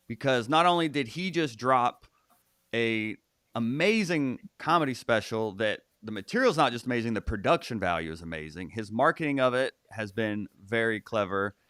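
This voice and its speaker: A man's voice: mid-range voice, a bit bright, dry recording, studio quality. A man hosting a comedy podcast, introducing his guest with enthusiastic build-up. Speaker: podcast host. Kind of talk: casual podcast chat.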